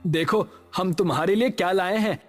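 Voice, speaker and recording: A man's voice: sits high, normal speed, soft background music, lightly acted. A man playing a brash young villager in a horror cartoon, with rustic phrasing. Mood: playful.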